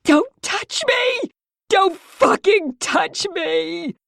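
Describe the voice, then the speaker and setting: A woman's voice: extremely high pitch, lively intonation, very slow, creaky, a bit bright, no room sound, clean studio sound, pitched above the performer's own voice, heavily acted. A woman voicing a distraught young woman in an audiobook, crying and screaming in anguish. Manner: hysterical, crying, shrieking. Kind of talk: audiobook dialogue.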